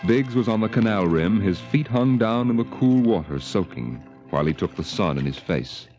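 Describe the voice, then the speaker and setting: A man's voice: lowish voice, lively intonation, normal speed, a little mellow, natural voice. A man narrating a 1950s radio drama in a solemn, lyrical, dramatic manner. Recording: old radio recording with music.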